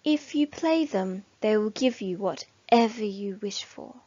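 Woman as a slow, wise old female wildebeest. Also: medium pitch, lively intonation, dark tone, dry recording, lo-fi sound. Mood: knowing. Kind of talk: read-aloud children's storybook.

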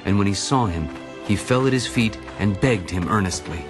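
Male narrator, deep voice, solemn, deliberate, scripted scripture storytelling, music underneath. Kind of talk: scripted dramatized Bible narration.

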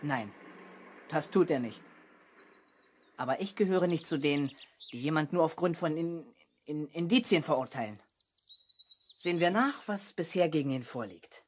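A man's voice: a little high, animated, natural voice. Guy doing an earnest kid sleuth, kinda self-important, old radio play, bit of background noise. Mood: pondering, self-important. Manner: deliberate, self-important deductive musing. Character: earnest, eager boy amateur detective. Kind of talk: 1970s German children's radio play acting. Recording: noisy 1970s radio play recording.

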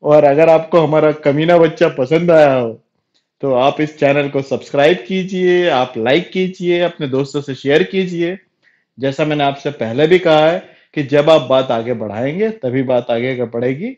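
A man's voice: a little high, moderate pace, a little mellow, lo-fi sound. A man in his thirties hosting a comedy channel, talking straight to viewers in a living room. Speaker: comedy video host. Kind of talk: direct-to-viewer sign-off.

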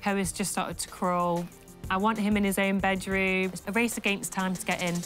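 A woman homeowner speaking in a casual interview, soft and a little hesitant. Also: medium pitch, fairly even intonation, normal speed, over music.

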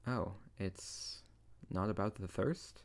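Deep-voiced, tender, teasing young-lover wizard acted by a man. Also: slow pace, creaky, heavily acted. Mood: tender, reassuring. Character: tsundere male wizard, teasing, tender best friend turned lover. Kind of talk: intimate ASMR roleplay audio drama.

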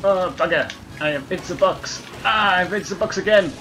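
A man's voice: high voice, normal speed, over music. Hammy man in a fake French accent. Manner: excitable, hammy, exclaiming and laughing at his own jokes. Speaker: let's play gamer. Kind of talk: comic gameplay commentary in a put-on accent.

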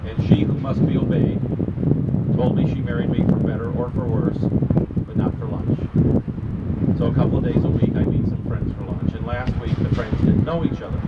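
A man's voice: mid-range voice, level tone, moderate pace. Older male tour guide, muffled and windy. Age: older, retired. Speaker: architecture boat tour guide. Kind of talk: live guided tour commentary with anecdotes. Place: outdoors on an open river tour boat. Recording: distant muffled mic, loud wind and engine noise. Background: loud wind, outdoor city and boat noise.

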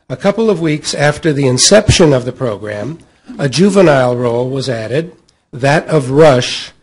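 A middle-aged American man reads a prepared introduction at a panel table. His voice sits a little high for a man and has a noticeably husky grain. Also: a little mellow, rough recording. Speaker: panel moderator. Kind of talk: scripted introduction read aloud. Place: hotel meeting room at a convention. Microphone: table microphone on a stand.

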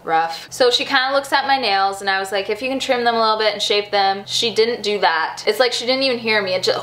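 A woman in her twenties chatting casually to camera, telling a personal story in an animated way and acting out reactions. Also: mid-range voice, fairly quick, echoey room. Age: 20s. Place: furnished home room with a desk, indoors.

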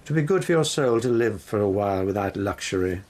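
An actor playing a haughty, sanctimonious palace priest in a radio drama, needling and moralising with a husky voice. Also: male voice, on the low side, expressive, quick pace, a little mellow, natural voice. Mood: sly needling.

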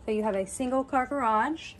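A woman's voice: a little high, fairly quick, a little hiss or hum. A woman working as a real estate agent, narrating an informal walk-through of a property. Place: walking through a house and its yard.

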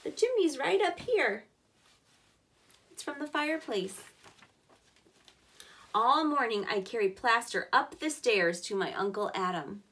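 Woman, thirties, higher-pitched voice, children's read-aloud, simple encouraging teacher talk, small indoor room. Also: expressive, unhurried, long pauses, a bit bright. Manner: clear, simple, encouraging address to young children. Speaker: preschool teacher reading a picture book aloud. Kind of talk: children's story read-aloud with explanatory asides.

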